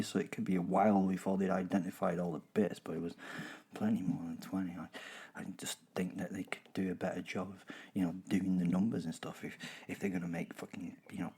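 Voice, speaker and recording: A man playing a gleeful, boastful werewolf in a horror comedy audio drama, telling of the hunt in a chatty, digressive way with husky drops toward a whisper. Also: deep voice, dark tone, exaggerated voice. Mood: peeved. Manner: chatty, digressive, self-interrupting, darkly jokey. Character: gleeful, boastful male werewolf killer recounting his hunt.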